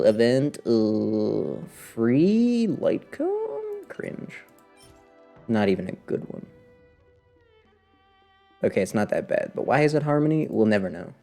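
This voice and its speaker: A man's voice: mid-range voice, creaky, mellow. Wry man streaming a game over music. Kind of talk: casual live gameplay commentary.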